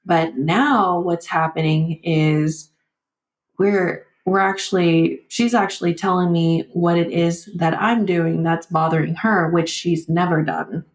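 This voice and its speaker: Echoey, low-voiced woman, reflective and halting. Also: steady tone. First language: Vietnamese. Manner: reflective, halting, frequent "like" and "you know". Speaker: podcast guest. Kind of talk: candid conversational interview.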